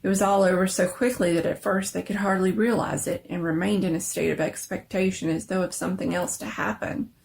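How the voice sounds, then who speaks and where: A woman's voice: lowish voice, gravelly, a little mellow, slight background noise. A woman narrator giving a dramatic reading of a scary story.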